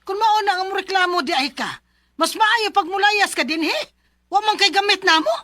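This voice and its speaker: An actress with a Filipino Bisaya accent playing a bossy, scolding middle-aged aunt with a husky voice in a radio drama. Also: female voice, very high-pitched, normal speed, bright, forceful tone. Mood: angry, scolding. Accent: Filipino, Cebuano Bisaya. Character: harsh, scolding middle-aged aunt who begrudges her orphaned nephew.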